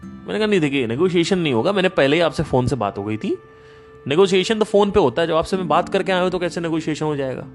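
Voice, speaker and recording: A man hosting a podcast, giving a casual spiritual talk in an emphatic, animated storytelling manner. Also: on the high side, quick pace, faint music behind. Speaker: podcast host.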